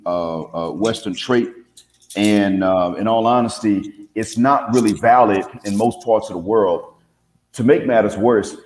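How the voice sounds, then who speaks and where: A man who is a guest on a live stream, arguing his points one by one in a measured, casual debate. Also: fairly low pitch. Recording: roomy echo.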